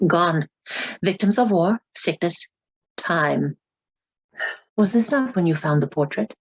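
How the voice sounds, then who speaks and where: Low, husky, grave woman. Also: expressive, slow, no echo, highs cut off, full character voice. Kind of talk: radio drama acting.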